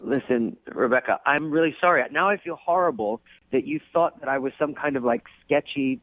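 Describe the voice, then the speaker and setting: A man calling in to a radio show by phone, casual and apologetic, rambling in a halting way. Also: medium pitch. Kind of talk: casual pleading conversation. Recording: thin, narrow-band telephone line.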